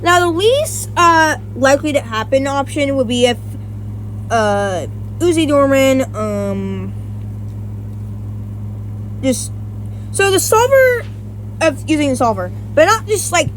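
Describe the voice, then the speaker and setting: A woman with a high voice talks through a casual versus-battle breakdown. She rambles, stops to correct herself and restarts often, dropping in the odd swear word. A faint hum sits behind her. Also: big pitch swings, slow pace, pauses often, low-quality recording. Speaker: YouTuber.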